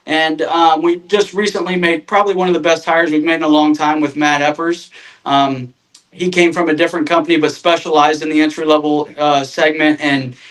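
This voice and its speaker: Guy in his 30s from northern indiana, kinda high voice, humble rambly guest chat, lots of uh's. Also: fairly even intonation, fairly quick, a bit bright, poor audio. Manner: self-deprecating, lots of fillers and restarts. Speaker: guest, RV sales manager. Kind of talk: casual podcast chat.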